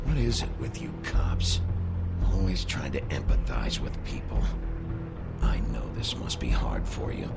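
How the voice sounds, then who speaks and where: A man voicing a gruff, cynical, short-tempered detective in an adventure game, gravelly and blunt. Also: rumbling low, huge pitch swings, a little slow, over loud music, heavily acted. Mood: angry, bitter. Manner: blunt, sardonic, swearing. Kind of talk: video game voice acting.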